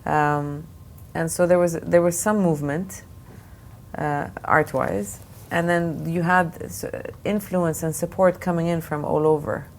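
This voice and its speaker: A woman's voice: low-pitched, fairly even intonation, a little slow. A woman originally from Lebanon, answering interview questions as an art fair director, with thoughtful answers full of restarts and fillers. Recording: faint background noise.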